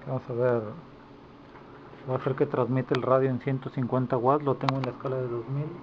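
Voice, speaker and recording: A man's voice: medium pitch, level tone, brisk. A man giving an informal hands-on demonstration as a repair technician, recorded on a handheld camera indoors. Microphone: handheld camera microphone. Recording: dull lo-fi camera audio, clear background noise.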